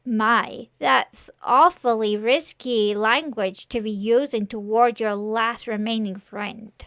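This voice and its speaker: A woman puts on a horned demon lord for a horror story. He speaks slowly in drawn-out, mocking phrases, manipulative and full of menace. The sound is dry. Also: fairly high pitch, fairly even intonation, fairly bright tone, no room sound.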